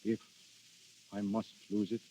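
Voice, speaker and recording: An actor playing Death in human guise as a proud, sorrowful immortal lover, in grand, eloquent 1930s radio style. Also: male voice, fairly low pitch, completely flat delivery, unhurried, warm tone. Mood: melancholy, grave. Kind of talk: 1930s radio drama acting. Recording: muffled old 1930s radio recording.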